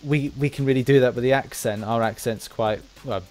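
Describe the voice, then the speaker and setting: An Englishman hosting a casual podcast interview for a gaming channel, with halting, rambling explanations. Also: medium pitch, fairly even intonation, darkish tone, soft background music. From England.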